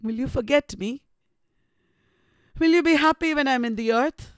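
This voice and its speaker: A woman's voice: high-pitched, moderate pace, dry recording, studio quality, pitched above the performer's own voice, lightly acted. A woman reading the part of a proud, bitter, dying young woman reproaching her lover in a classic novel. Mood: bitter, reproachful.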